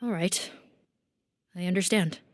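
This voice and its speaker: A woman's voice: fairly low pitch, measured pace, close to an everyday voice. A woman playing a composed, cautious and dutiful officer in a video game, speaking with deference. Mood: hesitant, deferential.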